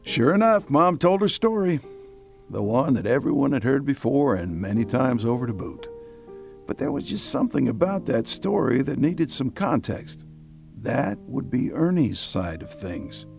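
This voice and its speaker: A man's voice: medium pitch, big pitch swings, moderate pace, creaky, darkish tone. A man playing a folksy, wry storyteller who narrates a family tale in an audio drama. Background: plucked guitar music.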